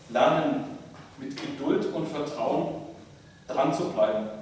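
A man preaching a sermon as a pastor, in measured, deliberate phrases with pauses. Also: on the high side, darkish tone. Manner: measured, deliberate, pausing between short phrases. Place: large church interior, speaking from a pulpit. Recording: echoey church, distant mic, faint noise.

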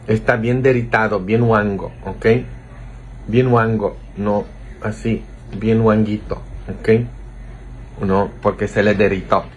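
A man's voice: on the low side, slight background noise. A man in his thirties, a priest streaming live, chatting playfully and reading viewers' comments aloud from a small office into a phone held close. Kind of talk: casual live-stream chat. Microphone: phone held close to the face.

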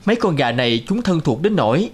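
An actor playing a shrewd village painter in a cartoon folk tale, deferential and matter-of-fact. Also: male voice, a little high, very animated, fast, slightly rough. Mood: polite.

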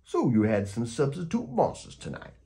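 A man's voice: medium pitch, wildly swooping pitch, moderate pace, dark tone, voice pushed up. A growling male monster from under the bed, as a man reads him aloud. He is hungry and theatrical, menacing in a fond, playful storybook way. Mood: playfully menacing.

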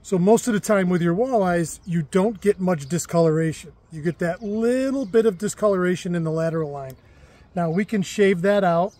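A man with a fairly high but mellow, warm voice talks in a relaxed, rambling way, full of restarts and fillers. He hosts a fishing show outdoors by a lake and moves easily between chatting and showing how to clean a fish. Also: normal speed. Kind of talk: casual outdoor chat and demonstration. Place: lakeside outdoors.

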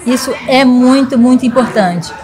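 A woman's voice: sits high, moderate pace. A middle-aged businesswoman from Rio with a Carioca accent, speaking earnestly as a guest in a small studio. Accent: Carioca Brazilian Portuguese. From Rio de Janeiro, Brazil. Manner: earnest, forthright. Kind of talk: casual interview chat. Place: small studio in front of a logo wall. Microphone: studio mic on boom arm.

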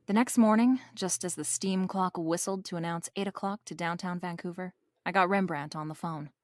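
A woman's voice: on the low side, normal speed, a little hiss or hum, natural voice. A woman playing a breezy, wisecracking female private detective in a noir audio drama, narrating and bantering. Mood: wry narration. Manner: wisecracking, coolly playful.

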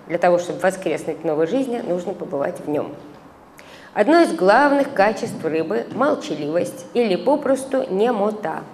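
Middle-aged woman lecturer at a lectern mic, measured academic reading, long hall reverb, faint hiss. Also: mid-range voice, expressive, darkish tone. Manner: measured reading with hesitant fillers, recites verse. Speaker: conference speaker, translator. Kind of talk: reading an academic paper aloud. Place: conference hall, indoors. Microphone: stand microphone at a lectern.

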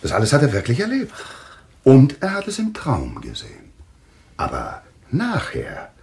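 An actor playing a composed, authoritative doctor in a German radio thriller, speaking deliberately and insistently. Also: male voice, mid-range voice, wildly swooping pitch, a little mellow. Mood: explaining. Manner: deliberate, explanatory, emphatic. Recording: slight background noise with clicks.

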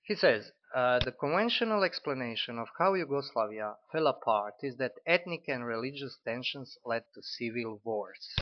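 A man in his forties from Croatia with a Croatian accent, critiquing an article in halting speech with many fillers and restarts. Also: mid-range voice, lively intonation, a little hiss or hum. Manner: hesitant, frequent fillers and restarts. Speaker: commentator. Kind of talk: unscripted spoken critique. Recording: dull lo-fi audio.

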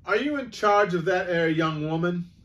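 Stern bobby, officious and loud, pitched up by a male narrator. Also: animated, moderate pace. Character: stern self-important Victorian policeman.

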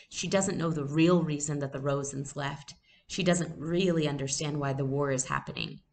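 A young adult woman giving a read-aloud lesson, explaining things deliberately as a teacher. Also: deep voice, gravelly. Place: small room at home. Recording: noisy home webcam recording.

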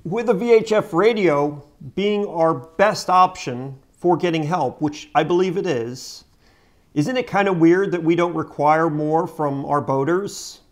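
Higher-pitched middle-aged American man. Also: measured pace. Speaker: boating video host. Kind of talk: explanatory talk to camera. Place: home kitchen, indoors.

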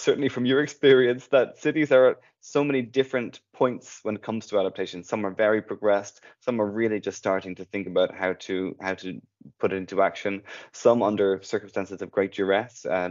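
A man hosting a podcast, summing up and asking a guest a question in a measured way. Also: on the low side, steady tone, a little mellow, dull audio. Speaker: podcast host. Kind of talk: interview questions.

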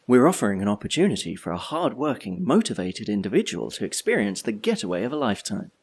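Wistful male loner narrating an audio play, reflective and wry, slightly deep husky voice. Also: very animated, fast talker, darkish tone, heavily acted. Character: wistful, solitary male drifter telling his story. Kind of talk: dramatic monologue in an audio play.

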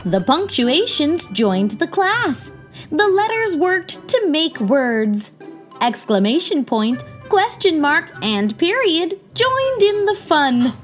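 Woman storyteller, high lively voice, theatrical children's read-aloud, deliberate delivery, music behind. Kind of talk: expressive storybook narration. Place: small indoor room.

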